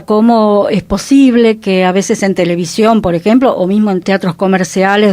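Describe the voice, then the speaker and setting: A woman's voice: medium pitch, a little mellow, dry recording. A woman with an Argentine accent talking reflectively in a conversational radio panel. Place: radio studio.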